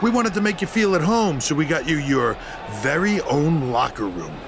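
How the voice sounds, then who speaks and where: An actor playing a smug, sarcastic middle-aged wrestling executive villain in a video game, taunting with mock politeness in a gravelly voice. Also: male voice, a little high, lively intonation, normal speed, music in the background. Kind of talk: video game cutscene acting. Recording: noisy arena with crowd behind.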